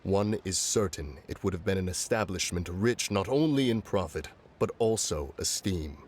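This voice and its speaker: A man's voice: low voice, normal speed, a little mellow. A man voicing a solemn ancient immortal in a video game, speaking in a formal, archaic, stately manner. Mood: wistful. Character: solemn ancient adeptus mourning an old friend. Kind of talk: video game character dialogue.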